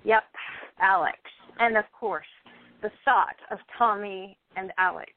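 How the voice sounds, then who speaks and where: A woman's voice: mid-range voice, unhurried, lightly acted. A woman acting the part of a depressed, envious, defensive actress of almost thirty in a radio play. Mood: gloomy, sardonic. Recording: thin phone-like audio.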